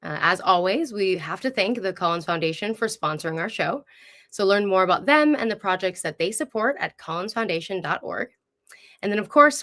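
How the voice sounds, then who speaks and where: A woman's voice: medium pitch, a bit bright. A woman hosting a conversational interview show, speaking in a chatty, appreciative way. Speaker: show host.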